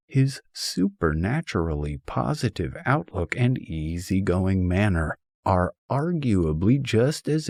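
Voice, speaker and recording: Deep-voiced man reading an audiobook, slow and husky. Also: darkish tone, no room sound, studio quality. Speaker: audiobook narrator. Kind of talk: scripted humorous essay narration.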